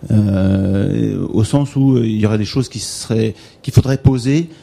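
A man's voice: fairly low pitch, measured pace, vocal fry, mellow. A man answering a question at an academic discussion, hesitant, with many fillers.

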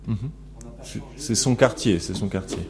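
A man's voice: on the low side, measured pace, creaky. A middle-aged man lecturing a class, thinking aloud with many fillers and restarts and putting questions to the students. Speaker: teacher. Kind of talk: classroom lecture analyzing a recorded interaction.

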